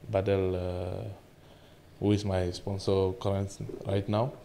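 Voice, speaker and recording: A man, answering interview questions in halting, simple English with many fillers. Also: low-pitched, completely flat delivery, gravelly, dark tone. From Cameroon. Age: 20s. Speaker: guest arm wrestler.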